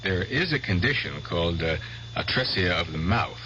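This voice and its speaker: An actor playing an earnest, polite doctor in a radio drama, speaking formally with careful hesitations. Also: male voice, deep voice, expressive, moderate pace, sharp tone. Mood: calm, curious. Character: earnest, probing male doctor, polite and measured. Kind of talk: 1970s radio drama acting. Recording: dull, faintly noisy old radio recording.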